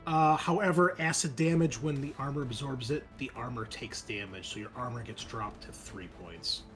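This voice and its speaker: A man's voice: mid-range voice, moderate pace, fairly bright tone, faint music behind. A man game mastering a tabletop roleplaying game, explaining rules and narrating the action in casual talk.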